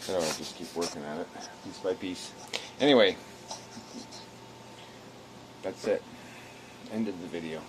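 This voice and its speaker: Man, low-pitched voice, weary, low energy, self-deprecating, halting casual monologue, faint background noise. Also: poor audio. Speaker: video blogger. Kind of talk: rambling casual monologue to camera.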